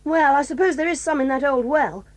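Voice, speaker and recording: A woman's voice: high-pitched, brisk, natural voice. An actress playing a bold, stubborn tomboy girl who speaks confidently and decisively.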